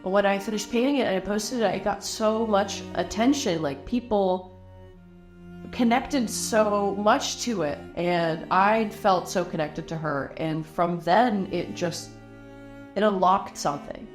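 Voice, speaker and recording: A woman from Lebanon, an artist, speaking in a documentary interview, reflective and halting, often restarting her sentences. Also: medium pitch, faint music behind.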